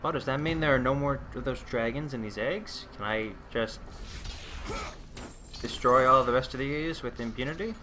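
A man's voice: medium pitch, moderate pace, bright, forceful tone, a little hiss or hum. A man playing through a game and commenting on it, exasperated and swearing at enemies, talking to them as he fights. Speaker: let's play commentator. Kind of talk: gameplay commentary. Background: game music and sound effects.

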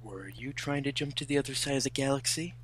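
A man voicing a brooding, peevish hedgehog rival in a fan-fiction reading, husky and breathy, with curt, condescending delivery. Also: medium pitch, fast, a bit bright. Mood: cool, curt.

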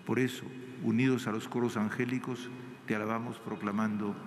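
A man celebrating mass, reciting the liturgy in a slow, intoning manner. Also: fairly low pitch, flat delivery, heavy background noise. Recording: echoey church with organ.

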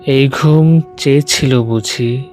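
Very slow, solemn man reciting poetry. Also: medium pitch, a little mellow. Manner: deliberate, with weighted pauses between short phrases. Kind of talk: solemn dramatic poem recitation. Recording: music bed under the voice. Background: music.

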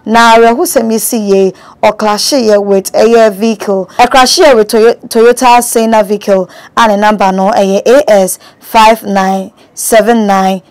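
Young ghanaian lady reading a news report, mid pitch, mixes in english words. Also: fairly even intonation, a little slow, few pauses. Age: young adult. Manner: code-switches between Twi and English. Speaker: news presenter. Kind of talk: news report read from phone. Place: small indoor room.

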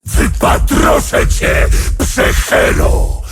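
An actor voicing a bloodthirsty ancient male demon warrior, shouting taunts at his foes in a video game. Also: very slow, faint music behind. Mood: menacing. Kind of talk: video game character voice lines.

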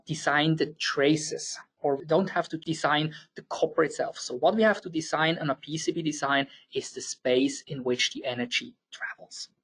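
A man's voice: on the high side, breathy edge. A man with a Swiss German accent presenting a technical engineering webinar, explaining step by step with occasional fillers. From Switzerland. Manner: explanatory, slightly halting with fillers and restarts.

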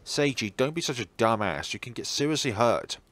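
A man's voice: mid-range voice, animated, fairly quick, a bit bright, no echo, lightly acted. A man voicing a dry-witted, exasperated teenage schoolboy in a visual novel, scolding and easily flustered. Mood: grudging, scolding.

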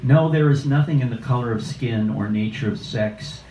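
A man in his sixties reading poetry live at an open mic in a measured, deliberate way, with casual asides. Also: medium pitch, dark tone. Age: 60s. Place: small indoor cafe open mic. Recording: roomy, distant cafe live stream with noise.

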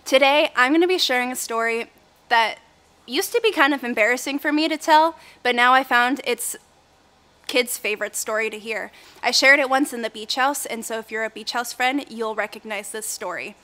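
Bright-voiced young woman telling a story. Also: fairly high pitch, moderate pace. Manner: chatty and animated, talks with her hands. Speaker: kids' church teacher. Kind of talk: storytelling to children. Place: indoor room with a window, filmed set.

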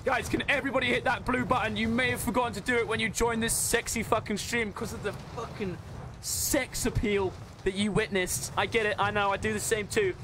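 A British man with a high-pitched voice streams a game and chats casually to his viewers. His banter is crude and full of swearing and jokes. The recording has clear noise behind his voice. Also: fairly even intonation, brisk. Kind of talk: casual stream chat while gaming.

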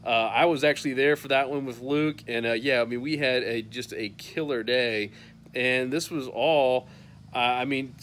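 An upbeat man in his thirties runs through a weekly roundup, chatty and loose, full of small "uh" fillers. His voice sits at an ordinary middle pitch, caught on a phone held at arm's length on an outdoor patio, with a faint hum behind him. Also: fairly even intonation, moderate pace, a bit bright. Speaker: fishing newsletter host. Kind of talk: casual weekly roundup to camera.

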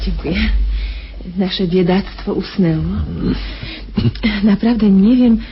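Radio drama nurse, played by a woman: sweetly patronizing, nosy, flattering, mid-pitched, on a dull, faintly noisy recording. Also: takes it slowly, lightly acted. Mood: chatty, coy. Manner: cooing, chatty, coy.

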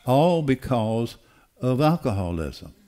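An older man preaching a sermon in an emphatic, deliberate way, repeating phrases for weight. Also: medium pitch, big pitch swings, mellow. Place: church auditorium stage. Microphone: headset.

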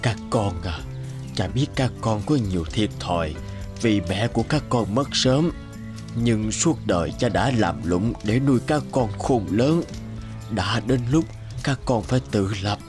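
An aged village father in a cartoon folk tale. His voice is low, slow and measured, full of tenderness for his children. Music runs clearly underneath. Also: expressive. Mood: tender, solemn. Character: gentle frail old peasant father, slow and loving. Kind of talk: animated folk tale dialogue. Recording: music bed behind the voice.

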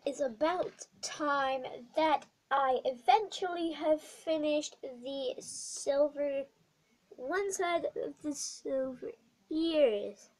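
A young girl with a bad cold and a croaky voice, chatting through a craft tutorial vlog in a small bedroom, rambling with many ums. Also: sits high, poor audio. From not American. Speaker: craft vlogger. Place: small dim bedroom indoors.

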